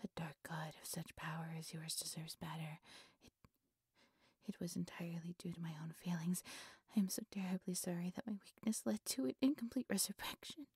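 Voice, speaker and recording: A woman acts out an obsessive high priestess of a dark god in an audio drama. Her voice is very breathy, soft and often near a whisper, recorded dry and close. She speaks formally, with fawning, reverent devotion. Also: fairly even intonation, a little fast, dry recording. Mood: contrite, reverent. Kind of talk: breathy audio roleplay acting.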